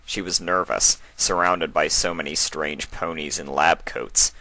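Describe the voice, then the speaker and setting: A man's voice: a bass voice, steady tone, measured pace, vocal fry, bright, forceful tone, poor audio. A man reading a scripted fantasy story aloud as its narrator.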